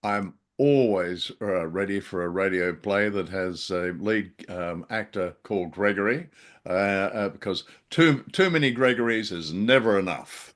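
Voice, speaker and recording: A male podcast host with a very deep voice. He talks casually and haltingly, pausing as he goes. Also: fairly bright tone.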